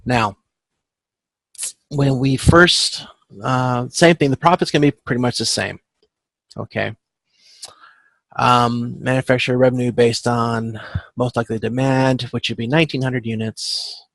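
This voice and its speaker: Gravelly-voiced man teaching, halting delivery. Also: mid-range voice, steady tone, fairly bright tone, no echo. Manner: halting, self-correcting, often checking with "okay?". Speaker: instructor teaching supply chain management. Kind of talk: informal lecture walking through spreadsheet calculations. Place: online video conference with screen share.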